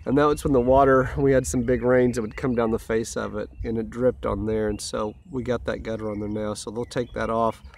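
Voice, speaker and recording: A man with a stuffy head cold gives an easygoing, rambling tour of his house build. He talks outdoors, and faint country ambience sits behind his mid-pitched voice. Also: fairly even intonation, brisk, gravelly, hardly any pauses, a little mellow, a little hiss or hum. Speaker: homeowner vlogger. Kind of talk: casual site walkthrough.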